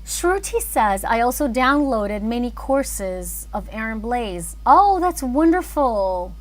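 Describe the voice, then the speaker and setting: A woman's voice: fairly high pitch, very animated, slow pace. A woman chatting casually and thinking aloud as she hosts an animation livestream. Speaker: animator and filmmaker streaming live.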